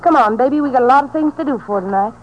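A woman's voice: high voice, rapid-fire, over music, lightly acted. An actress playing a brash, bossy young woman bandit from rural Texas in a radio drama, with a Southern American accent and slangy, tough talk. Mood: scornful, scheming. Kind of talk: 1950s radio drama acting. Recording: noisy, muffled old-time radio recording.

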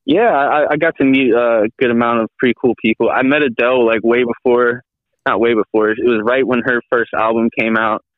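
A man in his late twenties, a podcast guest, talking casually in a halting, rambling way full of restarts. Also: medium pitch, steady tone, no room sound. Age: 20s. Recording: tinny phone-like line.

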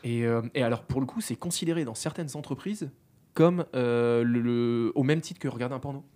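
Man, podcast co-host, quick chatty delivery, mid voice, rambling fillers. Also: mid-range voice, mellow. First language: French. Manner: talkative, self-deprecating, many fillers. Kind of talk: casual podcast chat.

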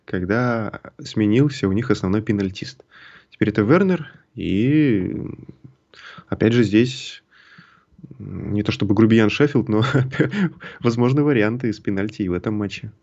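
A man co-hosting a casual football podcast, relaxed and easy to laugh. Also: on the low side, normal speed. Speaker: podcast co-host. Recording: dull lo-fi sound.

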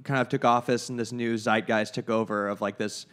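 A man's voice: lowish voice, flat delivery, brisk, fairly bright tone, clear, resonant tone. A man co-hosting a podcast in laid-back, wry casual chat, turning ideas over as he talks. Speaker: podcast co-host.

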